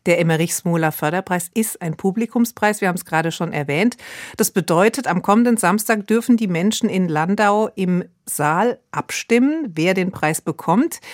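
Female radio presenter, formal and measured, slightly low, dry studio. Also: no room sound. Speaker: radio host. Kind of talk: radio interview.

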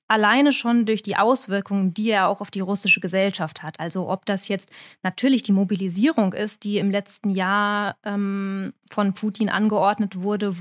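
Non-native woman explaining at length. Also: mid-range voice. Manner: measured, explanatory, with many hesitations. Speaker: correspondent in Moscow. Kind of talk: interview answer.

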